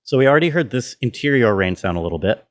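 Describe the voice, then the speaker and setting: A man's voice: medium pitch, animated, fast talker. Man casually walking through sound design. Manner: explanatory, checks in with listener. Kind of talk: casual tutorial walkthrough.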